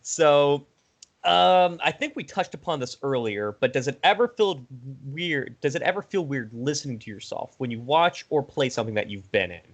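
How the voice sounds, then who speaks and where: A man's voice: on the high side, expressive, normal speed. A man conducting a casual interview in an informal, slangy, fannish way.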